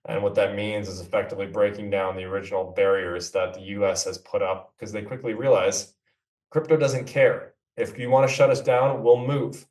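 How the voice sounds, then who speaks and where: A man's voice: lowish voice, steady tone, normal speed, darkish tone. A man from New York City, a company co-founder, explaining at length in a businesslike podcast interview. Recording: echoey room, far from mic.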